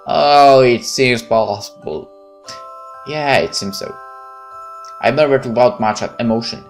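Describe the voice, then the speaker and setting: A man hosting a gaming video, reading a visual novel aloud with casual commentary and halting, stumbling delivery. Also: mid-range voice. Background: music.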